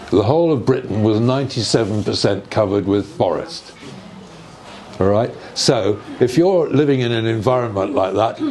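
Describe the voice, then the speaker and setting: A man's voice: lowish voice, a little mellow. Older man explaining over background noise. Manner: measured, explanatory, checks with rhetorical questions. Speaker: speaker on earth energies and ancient sites. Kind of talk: informal explanatory talk in conversation.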